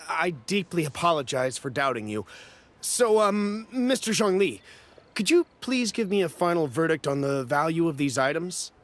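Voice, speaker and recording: A man's voice: a little high, expressive, a little slow, fairly bright tone. A man playing a nervous, eager merchant in an anime game, stammering and ingratiating. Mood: panicked, apologetic. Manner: fawning, stammering.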